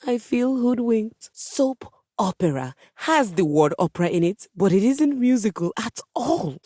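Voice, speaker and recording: A woman's voice: fairly high pitch, lively intonation, unhurried, warm tone, no echo, studio quality. A woman voicing a haughty, melodramatic musical instrument with a composer's snobbery in a video game, speaking with theatrical, pompous emphasis. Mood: dramatic exasperation. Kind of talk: video game character voice acting.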